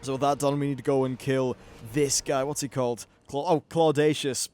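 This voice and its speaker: A man's voice: medium pitch, fairly even intonation, moderate pace, a little mellow. A man giving casual, off-the-cuff video game commentary as host, chatty and wry, with some swearing.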